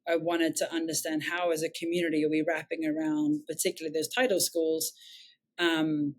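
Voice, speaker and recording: A woman's voice: deep voice, level tone, brisk. A woman with an English accent, a nonprofit founder telling her story in an interview, reflective and earnest, often correcting herself mid-sentence.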